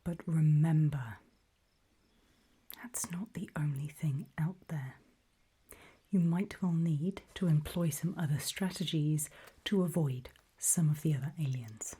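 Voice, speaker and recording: A woman playing a calm, faintly sinister guide in a creepy ASMR roleplay, whispering slowly and deliberately with a breathy voice. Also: low-pitched, exaggerated voice. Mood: calm, ominous warning. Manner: slow, deliberate, coolly instructive, softly ominous. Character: calm, faintly sinister female guide briefing people on alien dangers.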